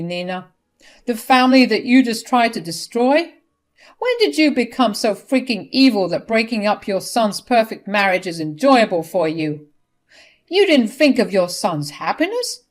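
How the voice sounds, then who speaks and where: A woman's voice: fairly high pitch, very animated, normal speed, pitched above the performer's own voice. A woman voicing a stern, angry middle-aged husband who scolds firmly. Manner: firm, scolding.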